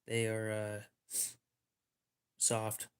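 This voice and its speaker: A man's voice: fairly low pitch, monotone, very slow, clear, resonant tone. A man hosting a livestream, chatting casually in a joking, sarcastic way with some swearing. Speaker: livestream host.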